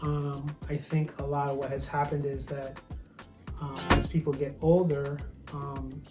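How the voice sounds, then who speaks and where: Barbadian man, flat-toned guest chef on a video call, roomy room with clear music behind. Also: a little high, a little slow. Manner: soft-spoken, hesitant, many ums and restarts. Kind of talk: virtual interview, casual storytelling.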